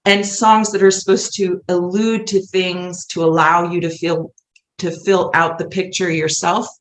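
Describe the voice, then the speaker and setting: A woman's voice: fairly low pitch, little pitch movement, measured pace. A middle-aged American woman talking candidly and animatedly as a guest in a casual interview. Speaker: guest, playwright. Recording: roomy echo.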